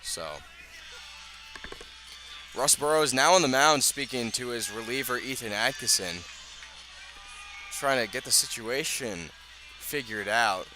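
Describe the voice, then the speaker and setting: A man doing live radio baseball play-by-play, speaking in measured short phrases with pauses between them. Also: medium pitch, bright, forceful tone, soft background music, low-quality recording. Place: outdoors at a ballpark.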